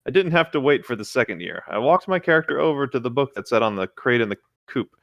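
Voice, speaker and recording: A man's voice: medium pitch, expressive, quick pace, gravelly, a bit bright, dry recording, muffled recording. A man co-hosting a casual read-along of internet horror stories, with light joking comments between lines. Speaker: co-host reading internet horror stories aloud.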